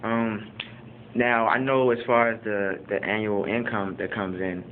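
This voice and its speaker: A man interviewing a fellow student in a casual, halting way, restarting his questions and using many fillers. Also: lowish voice, flat delivery. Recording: tinny phone-like line with faint background noise.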